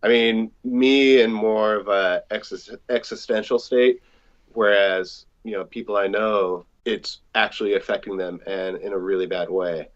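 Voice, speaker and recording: A man with a fairly low voice talks casually as a musician among a group. The recording is a little dull and muffled. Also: fairly even intonation, unhurried. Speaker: musician, singer and songwriter. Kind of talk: casual chat.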